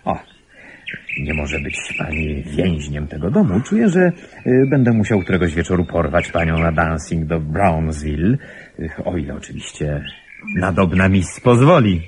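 A gallant, jovial secretary who flirts theatrically. His fairly deep voice swoops widely as he teases and flatters. The radio drama recording carries clear noise. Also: moderate pace. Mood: flirtatious, jovial. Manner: effusive, teasing, swooping.

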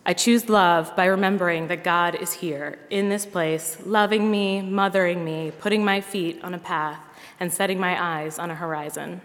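A woman's voice: lowish voice, steady tone, moderate pace. A woman giving an earnest personal testimony to a congregation, speaking in rhythmic repeated refrains. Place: large church hall. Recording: long reverb of a hall.

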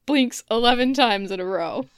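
A woman's voice: a little high, normal speed, clear, resonant tone, no room sound, studio quality. A woman co-hosting a podcast, chatting casually and reading comic script directions. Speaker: podcast co-host.

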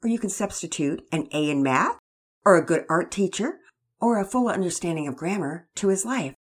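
Storybook dad, gentle and preachy, voiced by a breathy female narrator. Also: on the low side, expressive, normal speed. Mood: instructive. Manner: gentle, instructive, scripture-quoting. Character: devout father, patient and preaching.

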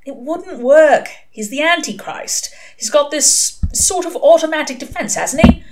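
A woman's voice: high voice, lively intonation, unhurried, heavy background noise, voice pushed up. A woman voicing an irritable, worldly male demon in a storybook reading, blunt, flippant and sardonic. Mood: exasperated.

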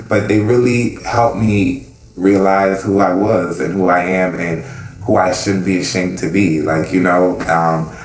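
A man's voice: deep voice, reverberant, slight background noise, low-quality recording. A man in his thirties from the Bahamas, speaking earnestly and reflectively in an oral history interview, often saying "you know". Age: 30s. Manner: earnest and reflective, with frequent fillers.

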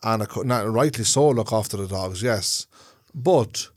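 A man's voice: on the low side, unhurried. Rambling Dublin man telling stories. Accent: Dublin Irish. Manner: rambling storyteller, checks in with "yeah?". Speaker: podcast co-host. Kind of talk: casual chat.

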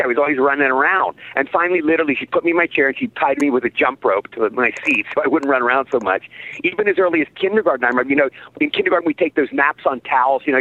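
A man in his sixties, an actor guest in a casual interview, telling stories excitably and repeating his phrases. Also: a little high, no room sound. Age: 60s. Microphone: phone. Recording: tinny phone line.

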